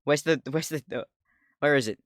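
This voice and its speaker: A man in his twenties with a deep voice, chatting casually on a livestream, rambling, laughing often, swearing and getting sidetracked. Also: normal speed, no echo, studio quality. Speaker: streamer.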